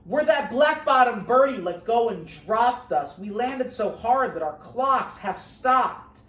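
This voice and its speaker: A woman's voice: fairly high pitch, animated, slow. A woman voicing a tiny, distressed storybook mayor who pleads plaintively. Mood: plaintive, pleading. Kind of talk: storybook read-aloud. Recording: roomy echo, distant microphone.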